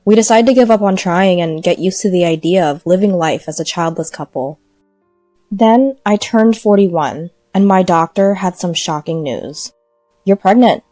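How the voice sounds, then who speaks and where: A woman's voice: on the low side, mellow, no room sound. A woman reading a first-person scripted story as narrator.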